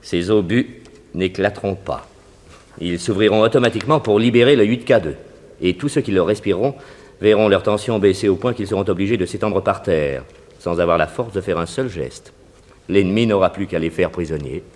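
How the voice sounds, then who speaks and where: Man as earnest laboratory assistant, low voice, polite, explanatory, proud, sixties radio drama. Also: a little fast, a little mellow, close to an everyday voice. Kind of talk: 1960s French radio detective serial acting.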